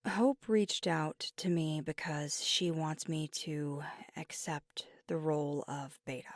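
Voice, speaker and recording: An earnest, devoted werewolf girlfriend played by a woman in an intimate ASMR audio roleplay. Her voice sits on the low side and comes through dry and close. She takes her time, choosing words with hesitant, conversational sincerity. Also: fairly even intonation, slow, no room sound. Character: devoted, earnest young werewolf girlfriend weighing big news.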